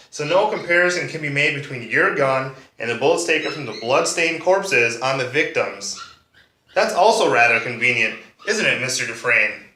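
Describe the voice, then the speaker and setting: A man's voice: mid-range voice, pushed and bright. Man acting as sardonic courtroom prosecutor, echoey room. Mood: pressing, sardonic. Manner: pointed, sarcastic questioning. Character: sardonic, accusing male district attorney cross-examining a witness. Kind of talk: monologue performance of a courtroom drama. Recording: roomy echo, distant microphone.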